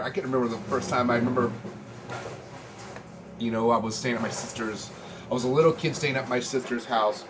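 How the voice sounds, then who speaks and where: American guy in his 40s just rambling, slangy laid back vlog talk, medium pitch, pretty noisy recording. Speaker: vlogger showing a music collection. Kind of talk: casual rambling chat. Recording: clearly noisy room ambience.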